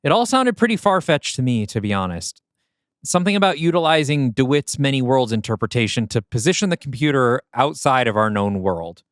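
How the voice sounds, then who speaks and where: A man's voice: mid-range voice, sing-song, brisk, dry recording, studio quality, close to an everyday voice. A man playing a skeptical, anxious young tech engineer in an audio drama, casual and puzzled. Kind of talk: audio drama table-read acting.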